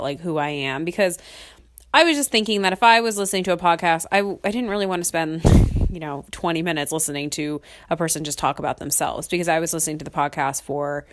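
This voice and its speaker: A young adult woman hosting a casual solo podcast. She has a cold and sniffles, and she rambles with lots of "um"s and frequent little laughs. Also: low-pitched. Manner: chatty and rambling, laughs often, many ums. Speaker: podcast host.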